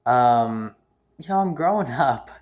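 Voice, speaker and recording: A man's voice: mid-range voice, animated, slow, a little mellow. A nineteen-year-old man from the United States giving a casual, rambling vlog update, full of ums and restarts. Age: young adult. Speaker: YouTuber.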